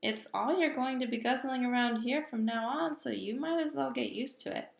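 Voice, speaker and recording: A woman voicing a very old, kindly male giant who talks slowly and loudly with jumbled grammar, in an echoey room. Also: vocal fry. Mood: gleeful. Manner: slow booming delivery with jumbled grammar.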